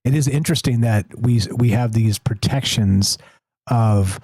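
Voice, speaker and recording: A man's voice: mid-range voice, a little slow, darkish tone, slightly rough, no room sound. A man hosting a call-in talk show, rambling with many restarts and frequent laughs. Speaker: host.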